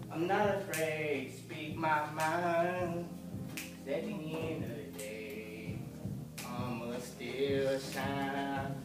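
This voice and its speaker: A young man performing his own rap with a sung hook at a graduation ceremony. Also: sits high. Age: young adult. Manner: rhythmic rapping with sung hook. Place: large hall at a graduation ceremony. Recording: echoey hall from far off.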